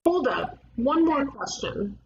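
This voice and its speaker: A woman's voice: high-pitched, sing-song, slow, a little mellow, heavily acted. Woman acting a brash detective, muffled lo-fi mic. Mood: cocky. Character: brash, cocksure young woman detective, wisecracking sister. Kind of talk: animated comedy table read. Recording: dull lo-fi mic, faint noise.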